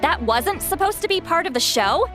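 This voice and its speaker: A woman voice actor playing a lively, excitable young female spectator in a video game. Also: extremely high pitch, normal speed, music in the background. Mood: incredulous.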